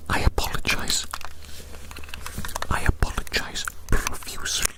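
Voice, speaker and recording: A person whispering an ASMR roleplay as an eccentric, apologetic character, breathy and hushed, often repeating words and phrases. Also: unhurried. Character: apologetic, eccentric, hungry creature-eater inspecting a little creature. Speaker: ASMR performer.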